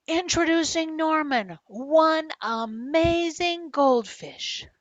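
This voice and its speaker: Eager young child, a bit nervous, storybook style, lively intonation, close dry sound woman performing. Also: high voice, slow, dry recording, dull audio, voice pushed up. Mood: announcing proudly. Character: young child showing off a pet goldfish, earnest, nervous, eager. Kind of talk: storybook read-aloud character voice.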